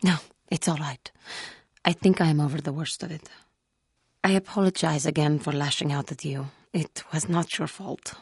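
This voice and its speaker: A woman playing a wounded, earnest female alien Jedi in a video game, speaking in formal, measured phrases. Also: low-pitched, fairly even intonation, creaky, a little mellow, slightly rough, no echo, studio quality, heavily acted. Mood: subdued, apologetic. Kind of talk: scripted video game character dialogue.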